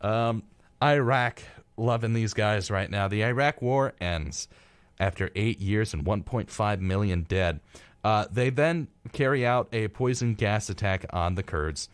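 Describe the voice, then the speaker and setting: A man with a lowish voice co-hosts a casual radio show from a studio. He reads out a rundown and drops in flippant remarks as he goes. The sound is very dry, with no room echo. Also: unhurried, clear, resonant tone. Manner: flippant, irreverent asides. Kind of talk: casual live talk reading a history rundown with joking asides.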